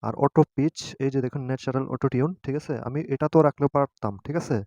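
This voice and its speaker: A man hosting a casual step-by-step tutorial, often pausing to check that listeners follow. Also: mid-range voice, steady tone, mellow, no room sound. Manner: repeatedly adds a checking tag question. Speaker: tutorial host. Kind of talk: casual screen-guided app tutorial.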